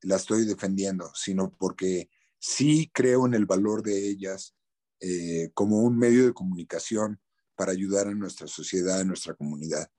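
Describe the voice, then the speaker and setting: A man's voice: low-pitched, normal speed, mellow. Candid Mexican man with slangy speech. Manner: candid, slangy, repeats words while searching. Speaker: actor, interview guest. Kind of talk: casual interview.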